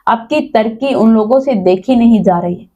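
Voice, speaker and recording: A woman's voice: fairly high pitch, normal speed, mellow, highs cut off, lightly acted. A woman voicing a deceitful wife who plays innocent and loving in a story, pleading and placating. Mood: placating, insistent.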